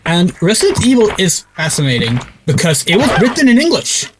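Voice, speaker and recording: A man's voice: high-pitched, wildly swooping pitch, a little fast, background noise. A man streaming a video game, giving casual commentary in a rambling way with lots of fillers and swearing. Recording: loud game music behind the voice.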